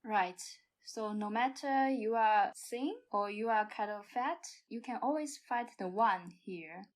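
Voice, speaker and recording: A woman improvising as a podcast guest, with halting, non-native phrasing. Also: medium pitch. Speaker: podcast guest, amateur theatre performer. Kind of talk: improvised role-play and mock product pitching.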